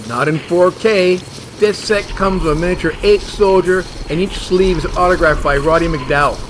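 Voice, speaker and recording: An actor plays a stubborn, fussy male monster hunter in a comedy audio drama. He is defensive and obtuse, with a slightly high voice over clear background noise. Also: normal speed, close to an everyday voice. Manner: defensive, obtuse, matter-of-fact.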